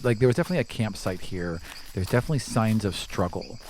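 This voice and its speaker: A man's voice: fairly low pitch, expressive, quick pace, darkish tone, rough recording. Casual male game master, faint insect noise. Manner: casual, descriptive. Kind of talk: narrating a tabletop roleplaying game.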